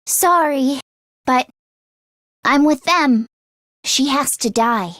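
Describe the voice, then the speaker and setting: A woman voicing a nervous, expressive teenage girl fighter in an English video game, with casual youthful phrasing. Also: high voice, slow, no echo, studio quality. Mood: serious, uneasy.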